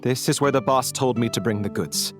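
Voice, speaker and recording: A man's voice: mid-range voice, a little fast, music in the background, lightly acted. A man voicing a wary, obliging smuggler turned informant in a video game, explaining things in a guarded way. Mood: matter-of-fact.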